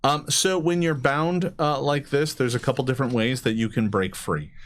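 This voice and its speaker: A man working as game master, improvising narration and explaining rules casually, with hesitant, pausing phrasing. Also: medium pitch.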